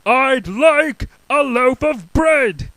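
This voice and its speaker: A man voicing a loud, booming cartoon character who bellows every line at full volume. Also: expressive, very slow, dry recording, full character voice.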